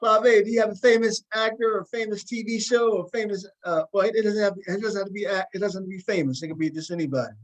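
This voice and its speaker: Higher-pitched man hosting casually. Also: low-quality recording. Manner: halting, repeats words. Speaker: talk show host. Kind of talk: casual conversational interviewing.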